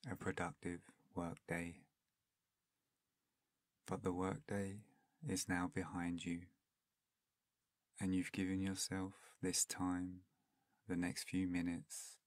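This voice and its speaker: A man with a deep voice leads a guided meditation very slowly, leaving long pauses between phrases. His delivery is soft-spoken and extremely even, almost flat in pitch. The sound is close and dry, with a soothing, unhurried manner. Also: no room sound.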